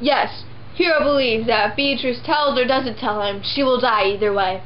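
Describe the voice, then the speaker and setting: A woman's voice: fairly high pitch, normal speed, dull audio, slight background noise. A young woman reading several parts in a home puppet show of a classic play, in a plain, earnest way. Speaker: amateur sock-puppet performer. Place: indoor room behind a sheet backdrop.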